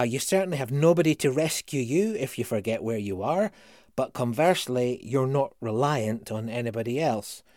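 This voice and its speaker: A man, co-writer and solo performer, talking reflectively in an interview, measured and listing his points one by one. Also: medium pitch, darkish tone, no room sound, clean studio sound.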